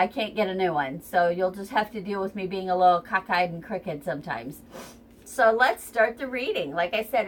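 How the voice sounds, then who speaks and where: A woman's voice: mid-range voice, a bit bright. A woman with a stuffy, runny nose giving a casual, rambling tarot reading at home near her kitchen, recorded on a tripod camera, with plenty of ums. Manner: chatty, rambling, many ums. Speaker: tarot reader.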